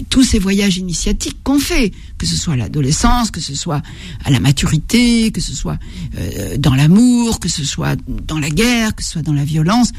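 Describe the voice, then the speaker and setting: Older woman, gravelly and husky voice. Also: sits low, darkish tone, no echo. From Algeria. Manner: halting, searching for words, many restarts. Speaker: writer and interview guest. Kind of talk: reflective interview conversation.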